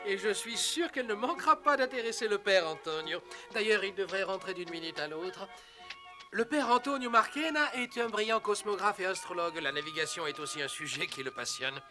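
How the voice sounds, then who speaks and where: An actor playing a kindly, excitable monastery priest in a cartoon dub. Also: male voice, sits high, a little fast. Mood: surprised, amused. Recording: music behind the voice.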